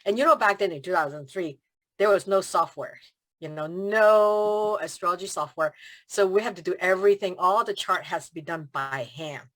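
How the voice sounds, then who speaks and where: A woman from Hong Kong, a feng shui consultant chatting as a guest over a video call, telling stories with animated quoted voices and frequent laughter. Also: on the low side, moderate pace, low-quality recording. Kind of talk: casual interview chat.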